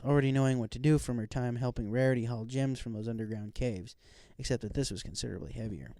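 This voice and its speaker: Guy narrating a story, mellow voice, dry close sound, scripted audiobook read. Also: medium pitch, no room sound.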